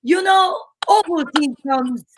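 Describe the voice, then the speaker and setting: A woman playing an upbeat radio announcer, reading a chatty, emphatic sponsor pitch in an old-time show style. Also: slow pace, noisy background. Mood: bright, selling.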